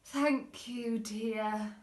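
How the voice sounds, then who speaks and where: A woman's voice: fairly high pitch, steady tone, extremely slow, echoey room. A woman voicing a weary, exasperated mother in a children's storybook. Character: Missus Large, weary, exasperated mother elephant.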